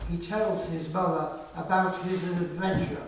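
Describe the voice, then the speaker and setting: Slow-paced male narrator, very echoey. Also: sits high, flat delivery, slight background noise. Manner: measured storytelling. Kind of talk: scripted radio play narration. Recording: very echoey room, far from mic.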